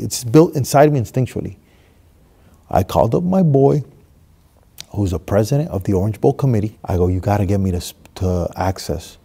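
A man in his thirties from Miami tells a motivational story, swinging between hushed and loud as he acts it out. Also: deep voice, creaky, breathy edge. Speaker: motivational speaker. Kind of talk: storytelling monologue. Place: stage with red curtain, seated.

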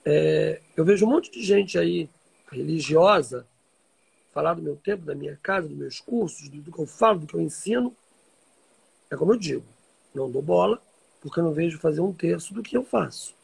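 A Brazilian man talks to his live-stream followers in a high voice for a man, heavily creaky and raspy. He is informal and emphatic, repeating key phrases as he teaches. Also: lively intonation, moderate pace, low-quality recording. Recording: livestream audio reposted from social media.

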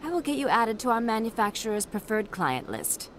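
A woman playing a composed, calculating official in a video game, speaking with formal, measured politeness. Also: on the high side, sing-song, noisy background. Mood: coolly polite.

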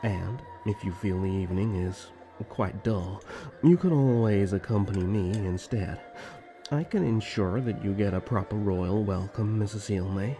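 A man playing a flirtatious, teasing rival elf prince in a fantasy audio drama, with a smooth, mocking courtesy. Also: on the low side, moderate pace, warm tone, soft background music, natural voice.

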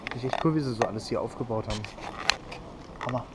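A man's voice: mid-range voice, fast, heavy background noise. A young man chatting casually through a travel vlog, enthusiastic and full of fillers, filmed on a camera held at arm's length. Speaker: vlogger. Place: museum indoors, then city streets at night. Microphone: action camera held at arm's length.

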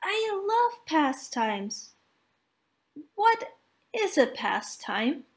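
A woman's voice: high voice, big pitch swings, drawn-out words, full character voice. A woman voicing an eager, naive, cheerful male snowman in a children's storybook, bubbly and wide-eyed. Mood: curious delight.

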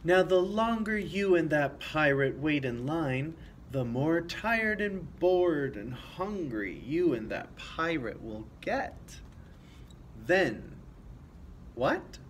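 Young guy reading a kids book out loud, takes it slow, expressive, little bit of room noise. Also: on the high side. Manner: expressive, with commentary to young listeners. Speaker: storytime reader. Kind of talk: children's picture book read-aloud. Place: small office room, indoors. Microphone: camera a few feet away. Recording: faint background noise.

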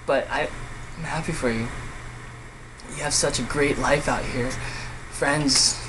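A man acting a hurt younger brother in an emotional dramatic monologue, speaking in a halting, emotionally raw way. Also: medium pitch, steady tone, background noise, close to an everyday voice. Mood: wistful, tender. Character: hurt younger brother pleading with self-centered older brother.

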